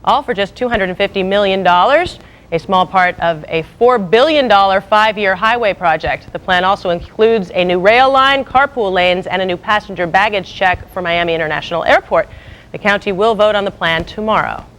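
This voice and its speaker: A woman's voice: medium pitch, normal speed, a bit bright. An American woman anchoring scripted local TV news with a wry, punchy, irreverent delivery.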